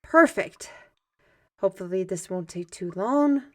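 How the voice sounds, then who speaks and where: A woman playing a lively artist mage and storytelling mentor in a fantasy audio roleplay, with formal old-fashioned phrasing and muttered asides. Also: mid-range voice, unhurried, slight background noise, lightly acted. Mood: busy muttering.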